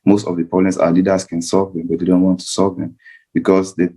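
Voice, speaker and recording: A man gives an earnest explanation as a panel speaker. His voice is low and mellow, with little pitch movement. He repeats phrases as he builds each point. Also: level tone, brisk, breathy edge.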